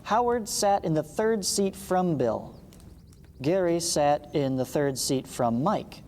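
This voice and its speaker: Male teacher, mid-to-high pitched mellow voice, read-along lesson with casual asides, reverberant recording with faint noise. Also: animated, slow pace. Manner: explanatory, reading aloud with informal asides. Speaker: math teacher. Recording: reverberant room with background hiss.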